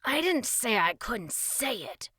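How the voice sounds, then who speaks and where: A woman's voice: high voice, big pitch swings, measured pace, a bit bright, no echo, voice pushed up, exaggerated voice. A woman voicing a sceptical, defiant young boy in a children's story, grumbling and mocking.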